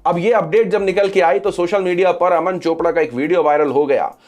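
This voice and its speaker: A man with a somewhat high voice delivers news commentary as a presenter. He moves through updates, restating key phrases to drive them home. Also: fairly quick, darkish tone. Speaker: social media news commentator. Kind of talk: news commentary sharing updates to camera or over screen.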